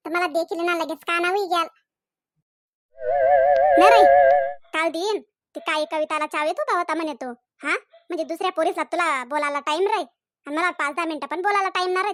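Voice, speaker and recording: A woman's voice: extremely high pitch, fairly quick, fairly bright tone, no room sound, studio quality, soft background music. A woman voicing a scolding, jealous young village woman in a comedy cartoon, nagging and accusing in a Khandeshi Ahirani accent. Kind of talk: comedy cartoon dialogue in dialect.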